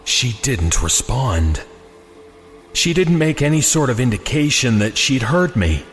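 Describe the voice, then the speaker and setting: A man with a medium-pitched voice narrates a scripted horror tale in the first person. His delivery is dramatic and suspenseful, placing pauses with care. Music plays underneath the narration. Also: very animated.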